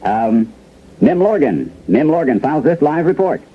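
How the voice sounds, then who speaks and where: An actor playing a flustered male news anchor in a 1970s radio drama, hesitating with many ums and pauses. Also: on the high side, big pitch swings, very noisy. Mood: flustered, apologetic. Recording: dull old radio broadcast recording.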